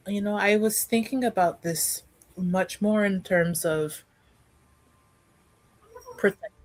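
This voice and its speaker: A woman playing a reserved, thoughtful noble niece in a tabletop fantasy roleplay, with hesitant, deliberate phrasing. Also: medium pitch, close to an everyday voice, rough recording. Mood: tentative, careful.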